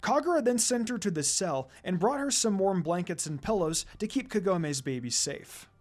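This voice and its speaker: Animated, higher-pitched male story narrator. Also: moderate pace. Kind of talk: dramatic story reading.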